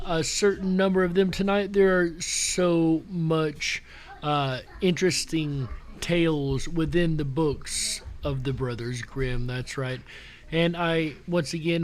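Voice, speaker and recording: A man hosting a show in a casual, conversational showman's style, speaking directly to his listeners. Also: a little high. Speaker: show host. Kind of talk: casual live show commentary to audience. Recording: music playing behind the voice. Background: background music.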